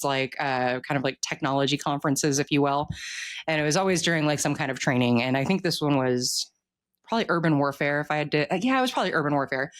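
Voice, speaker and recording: A middle-aged author speaks in a casual interview, with a medium-pitched voice. The delivery is candid and talkative, with informal, emphatic phrasing. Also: a bit bright.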